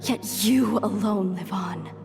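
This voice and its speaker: A woman's voice: mid-range voice, slow pace, vocal fry, darkish tone. An actress voicing a bitter female phantom of fallen comrades who speaks accusingly in a video game. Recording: echoing reverb with music.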